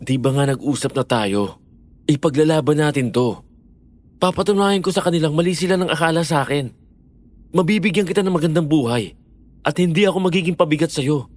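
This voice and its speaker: Higher-pitched, caring young boyfriend, acted by a man. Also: fairly quick, lightly acted. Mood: earnest, reasoning. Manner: earnest, gently reasoning. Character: Fred, caring young boyfriend, patient and reasonable. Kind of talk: Filipino audio horror-story drama acting.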